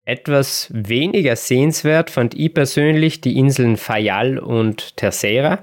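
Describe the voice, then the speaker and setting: A man's voice: mid-range voice, unhurried. Easygoing male podcast host. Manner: relaxed storytelling with occasional laughs and hesitations. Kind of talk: casual podcast chat.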